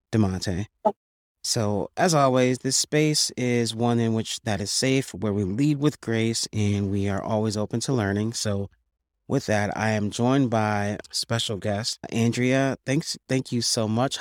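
A man's voice: fairly low pitch, measured pace, dry recording, studio quality. A man hosting a podcast interview, asking long, rambling questions full of fillers and restarts. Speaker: podcast host.

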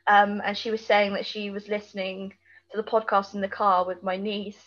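A woman's voice: medium pitch, flat delivery, moderate pace, a bit bright, muffled recording. A British woman co-hosting a podcast, bantering casually in a chatty, informal and teasing way. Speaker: podcast co-host. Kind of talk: casual banter about a TV episode.

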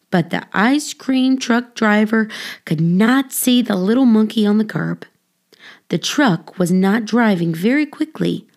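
A woman reading a children's story aloud as scripted narration, with expressive, deliberate phrasing for young listeners. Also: mid-range voice, vocal fry. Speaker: audiobook reader, children's storyteller.

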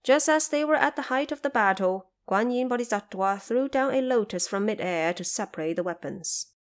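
A woman's voice: a little high, expressive, moderate pace. A woman narrating a fantasy audio drama in a dramatic, scripted storytelling style.

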